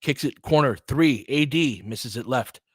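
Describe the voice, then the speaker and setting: A man's voice: mid-range voice, a little slow, husky, dry recording, studio quality. A man doing casual live basketball play-by-play and analysis, mixing short clipped phrases with conversational asides as a partisan fan of the team.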